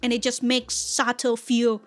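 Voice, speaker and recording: A woman hosting a casual anime reaction and review, speaking in an animated, exclamatory way and often chuckling as she talks. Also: a little high, measured pace, soft background music. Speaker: reaction video host.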